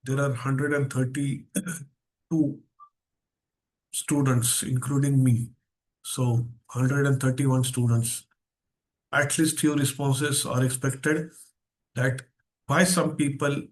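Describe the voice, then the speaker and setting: A man with an Indian accent leads an online mindset seminar as guest speaker. His voice sits at a medium pitch, and he stresses key phrases. He often puts rhetorical questions to his listeners. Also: steady tone, slow pace, pauses often. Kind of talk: interactive online seminar talk. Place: online video session.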